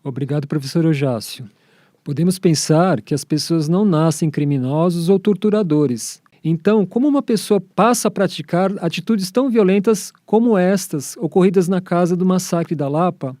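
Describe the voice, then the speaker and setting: A man's voice: sits high, normal speed, dark tone, no room sound, clean studio sound. A Brazilian man hosting a radio program, presenting and putting questions in a scripted style. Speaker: radio host.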